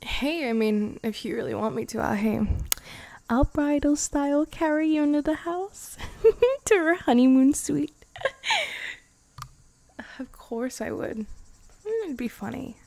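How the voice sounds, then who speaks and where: A woman voicing a loving young vampire girlfriend in an intimate, improvised ASMR roleplay, tender and hushed, often slipping into whispers, close on the microphone. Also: high voice, lively intonation, slow pace, vocal fry, long pauses, no echo, heavily acted. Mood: playful. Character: loving young vampire girlfriend, playful yet afraid of loss.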